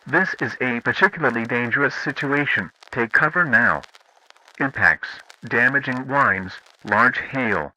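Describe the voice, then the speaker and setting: A man's voice: medium pitch, pushed and bright, poor audio. A man's synthetic-sounding voice reading scripted emergency weather warnings as an alert announcer.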